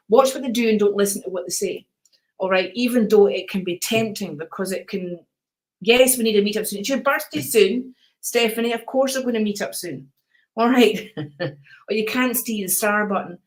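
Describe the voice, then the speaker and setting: An older woman with a Scottish accent, hosting a live stream of card readings and chatting warmly to viewers, calling them darling and sweetheart in a calm, soothing voice. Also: mid-range voice, low-quality recording.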